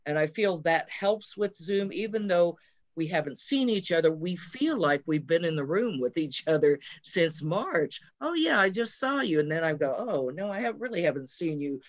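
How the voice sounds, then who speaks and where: A woman's voice: lowish voice, expressive, normal speed. Woman theater teacher on dull lo-fi audio. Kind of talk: casual conversation on a panel. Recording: dull, lo-fi video call audio.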